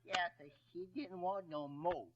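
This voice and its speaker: A man's voice: sits high, unhurried. A drawling, bashful country boy. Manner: drawling, says please sir and please ma'am. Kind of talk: 1930s live radio comedy. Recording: dull 1930s radio broadcast with faint hiss.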